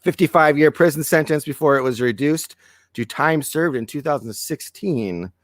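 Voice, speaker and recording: A man co-hosting a casual political podcast who reads news items aloud, keeps clearing his throat and gives blunt opinions, and whose voice is going hoarse. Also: fairly high pitch, moderate pace, dry recording, studio quality. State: losing his voice. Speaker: podcast co-host.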